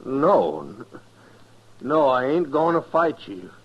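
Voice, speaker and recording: An actor playing a cold, defiant gunslinger in a 1950s radio western, with a folksy rural American West accent and a husky voice. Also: male voice, mid-range voice, very animated, slow, heavily acted. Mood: uneasy, protesting. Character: cold, defiant male gunslinger who kills without remorse. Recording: muffled 1950s radio recording.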